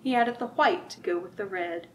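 A middle-aged woman reading aloud at a children's storytime, expressive and sing-song, drawing out rhymes and putting questions to young listeners. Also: medium pitch, moderate pace, a little hiss or hum. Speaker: children's librarian, storytime host. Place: library room with bookshelves, indoors.

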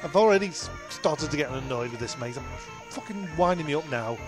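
A man with a high-pitched voice performs improvised comedy. He whinges and swears in rambling protest, with faint music behind him. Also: lively intonation, fairly quick. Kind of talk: improvised comedy role-play.

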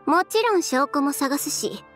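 A woman's voice: sits high, lively intonation, measured pace, a bit bright. An actress playing a confident, decisive young woman, a fashion designer, in a Japanese anime game. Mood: resolute. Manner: cool, decisive, blunt. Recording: music behind the voice.

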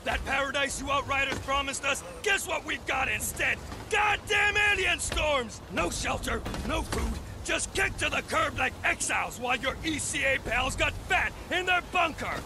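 An actor playing a bitter, aggressive war-hardened soldier in a video game, barking with sneering sarcasm. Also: male voice, extremely high pitch, lively intonation, normal speed, hardly any pauses. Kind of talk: video game cutscene acting. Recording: loud vehicle and battle noise.

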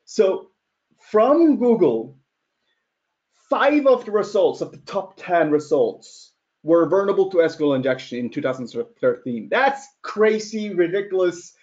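A man from Norway in his thirties presents a webinar from a small room, talking casually with a slightly American-sounding accent. His voice sits a little high, and he keeps asking rhetorical questions and chuckling at his own points. The call audio is dull and lo-fi. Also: sing-song, unhurried, a little mellow. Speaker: webcast presenter.

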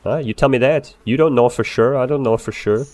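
A man improvising in-character game roleplay, swearing and ranting in an excitable way. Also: medium pitch, fairly quick, dry recording. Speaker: roleplayer playing a bar owner.

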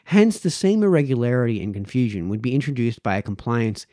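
A man reads formal prose aloud in a measured, deliberate way, phrase by phrase, close to the microphone. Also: medium pitch, animated, warm tone, no echo. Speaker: reader, host of a read-aloud series. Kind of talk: reading aloud from a book of formal essays. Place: small home office, indoors. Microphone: close boom-arm podcast microphone, headphones.

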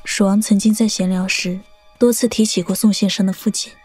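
An actress plays a poised young princess of an enemy realm in a martial arts audio drama. Her mid-pitched voice is cool, formal and probing, recorded dry over faint music. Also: level tone, fairly quick, darkish tone, husky, no echo, natural voice.

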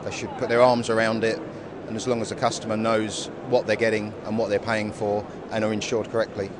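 A middle-aged man, an insurance broker, giving a brisk, matter-of-fact vox pop answer at a busy exhibition hall. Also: on the low side, little pitch movement. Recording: background hall noise.

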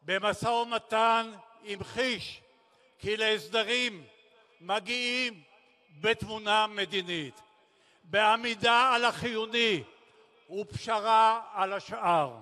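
A man delivers a formal rally speech through a big, reverberant public-address system. His voice is very high for a male and has a bright, forceful edge. He speaks solemnly and declaims in deliberate phrases, leaving weighty pauses between them. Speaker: speaker at a memorial rally, former military commander.

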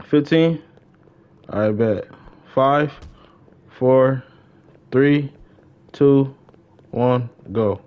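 A young American man with a middle-pitched voice talks casually while gaming on a live stream. His speech is slangy, with short phrases repeated in quick strings. The audio is slightly dull and lo-fi. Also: slight background noise. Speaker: live streamer playing an online roleplay shooter game. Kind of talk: casual live gameplay chat.

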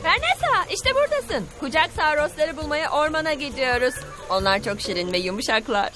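Impatient cave girl, very high bright voice, eager and headstrong, music under it. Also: very animated, fairly quick. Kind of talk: cartoon dubbing. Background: background music.

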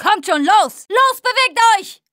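A high, dry-recorded young female voice for a zombie video game. She is a tough survivor with a blunt, sarcastic edge. Her delivery is urgent, made for short combat barks and quips. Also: animated, a little slow, bright, forceful tone, no echo, exaggerated voice. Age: young adult. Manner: blunt, foul-mouthed, urgent.

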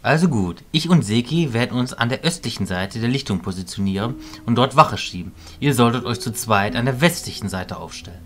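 An actor playing a kindly adult male magician and mentor in a radio play, composed, measured and formal. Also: medium pitch, animated, a bit bright, lightly acted. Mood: diplomatic.

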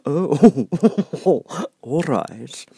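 A man's voice: on the high side, expressive, extremely slow, mellow, breathy edge, no room sound, clean studio sound, pitched above the performer's own voice, full character voice. A man voicing a grinning, mad, teasing Cheshire cat in an audiobook, drawling his words and breaking into giggles and hums. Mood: musing, sly.

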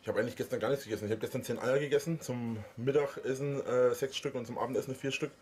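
A man's voice: medium pitch, steady tone, fast talker, darkish tone, faint music behind, close to an everyday voice. A man playing a rambling, food-obsessed sidekick in a parody detective audio play. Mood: matter-of-fact.

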